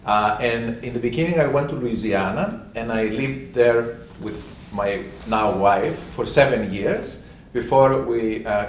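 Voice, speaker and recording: A man's voice: mid-range voice, echoey room, far from the mic, a little hiss or hum. A man from Greece in his fifties, telling his own immigration story at a library community panel in a plain, matter-of-fact, slightly hesitant way. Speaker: panel speaker. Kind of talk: personal story at a community event. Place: library event room.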